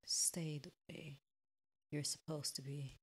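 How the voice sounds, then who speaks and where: Woman voicing a low, cold yandere killer. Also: little pitch movement, slow, a bit bright, slightly rough, full character voice. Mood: quiet menace. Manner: cold, accusing, controlling. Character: bitter, menacing young male yandere killer.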